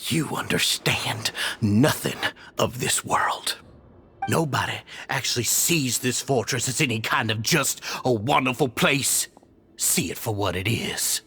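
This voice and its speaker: A man's voice: medium pitch, unhurried, sharp tone. A man playing an arrogant, cruel prison villain in a video game, menacing and theatrical, full of contempt. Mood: scornful menace. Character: arrogant, cruel male prison villain, scornful and power-hungry. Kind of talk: dramatic video game voice acting.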